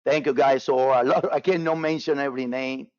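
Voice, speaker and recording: A man's voice: mid-range voice, steady tone, a little fast, darkish tone, no echo. Cuban-born guy running a trading webinar, keeps restarting, dry muffled sound. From Cuba. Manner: casual, repetitive, filler-heavy with many restarts. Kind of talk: live webinar talk.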